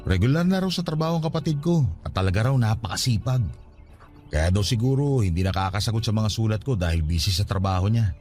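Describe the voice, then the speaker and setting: Man acting earnest young boyfriend, low voice, swooping intonation, Filipino accent, sincere radio drama dialogue. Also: animated, fast, a little mellow, faint music behind, natural voice. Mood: thoughtful. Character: earnest, responsible young man worried about his younger sister.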